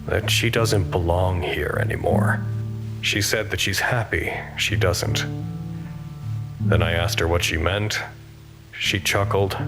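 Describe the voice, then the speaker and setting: An actor playing a reflective, gentle facility worker, narrating an audio drama log in a measured, formal, introspective way. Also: male voice, sits low.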